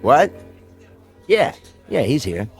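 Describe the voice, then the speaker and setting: An actor playing a sardonic American bartender in a vintage radio drama, slangy and dryly teasing. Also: male voice, mid-range voice, very animated, slow, husky, soft background music, exaggerated voice. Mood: matter-of-fact. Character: wisecracking, sardonic bartender at a neighborhood joint.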